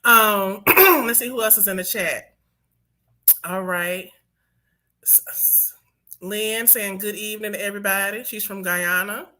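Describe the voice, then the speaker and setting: A woman's voice: medium pitch, a little slow, long pauses, bright, forceful tone. A woman hosting an online panel show, talking in an upbeat, chatty way straight to the women watching. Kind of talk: lively show hosting, addressing viewers.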